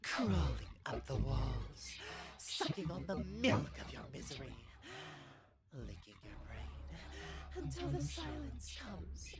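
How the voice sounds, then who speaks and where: A woman's voice: mid-range voice, huge pitch swings, exaggerated voice. Wildly swooping monstrous lady of darkness. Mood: sinister, gloating. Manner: theatrical, drawn-out taunting with whispers. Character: monstrous female darkness entity, sadistic, gloating, mocking. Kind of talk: horror visual novel voice acting.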